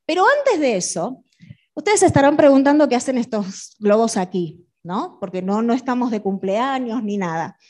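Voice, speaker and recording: A woman's voice: fairly high pitch, animated, moderate pace, a little mellow. A middle-aged woman, presenting a business talk to an audience in a didactic, questioning way. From Argentina. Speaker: conference speaker.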